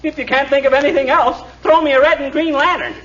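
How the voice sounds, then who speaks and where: An actor playing a blustering, exasperated husband who grumbles and wisecracks in a 1940s radio comedy. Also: male voice, very high voice, fairly even intonation, fast talker, fairly bright tone. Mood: indignant, pleading. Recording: noisy, dull 1940s radio recording, distant.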